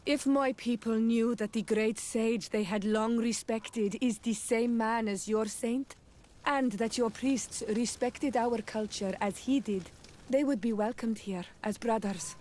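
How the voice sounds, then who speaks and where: An actress voicing a dignified, sincere island princess in a video game, with a solemn, formal delivery. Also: female voice, a little high, a little slow, darkish tone, close to an everyday voice. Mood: solemn, hopeful. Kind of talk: scripted video game cutscene acting.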